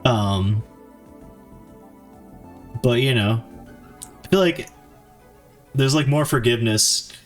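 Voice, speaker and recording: A man with a mid-pitched voice streams himself developing a game, with music behind him. He talks casually to viewers and thinks out loud in stops and starts. His manner is informal and self-deprecating. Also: lively intonation, drawn-out words, long pauses. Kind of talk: casual live-stream chat while coding.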